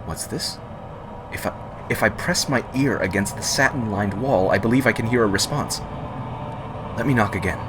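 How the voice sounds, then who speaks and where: Low-voiced man reading a horror story. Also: moderate pace, long pauses, background noise. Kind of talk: first-person scary story narration. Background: background music.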